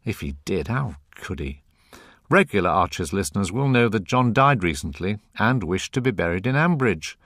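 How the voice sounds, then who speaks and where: Older British radio man, animated and low. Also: moderate pace, no echo, studio quality. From north of England. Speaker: radio presenter and interviewer. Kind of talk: radio magazine interview.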